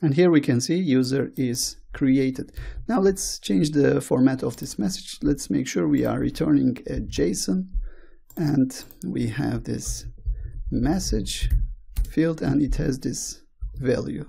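A man with a Turkish accent, a native Turkish speaker, walking through code slowly, step by step, in a screencast tutorial. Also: medium pitch, creaky, mellow, breathy edge. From Turkey. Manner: slow, step-by-step walkthrough. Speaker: programming tutor.

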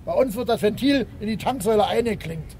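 An actor playing a chatty, proud station attendant being interviewed in a radio drama, over ambience. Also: male voice, high-pitched, lively intonation, fast talker, darkish tone. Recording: ambient noise behind, on location.

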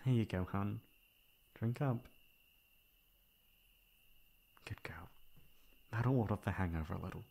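A man's voice: lowish voice, moderate pace, creaky, warm tone, breathy edge. A man playing a sweet, teasing boyfriend, tender and playfully exasperated, in an intimate ASMR roleplay. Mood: tender, hushed. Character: sweet teasing boyfriend caring for tipsy girlfriend.

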